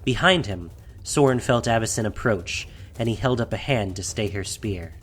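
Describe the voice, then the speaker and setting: A man's voice: lowish voice, animated, normal speed, a bit bright. A man reading scripted fantasy fiction as the narrator of an audio drama, in a dramatic storytelling style. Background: faint music.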